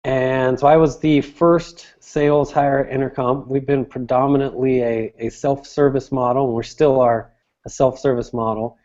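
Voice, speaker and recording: A man with a mellow, soft-edged voice at a medium pitch answers as an interview guest. He explains things conversationally, restating phrases as he goes. The audio is slightly dull and lo-fi. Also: steady tone, moderate pace. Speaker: interview guest, sales director.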